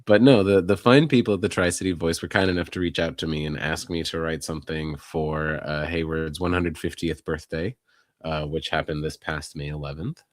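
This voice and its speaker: A man co-hosting a local news podcast in casual chat, hoarse and husky from a recent illness, laid-back and rambling with self-deprecating jokes. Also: very deep voice, fairly even intonation, moderate pace, no room sound, studio quality.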